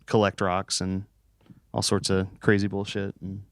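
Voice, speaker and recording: A man's voice: sits low, monotone, unhurried, no echo, studio quality. A young American man chatting casually as an interviewed band musician, joking, rambling and swearing. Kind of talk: casual chat.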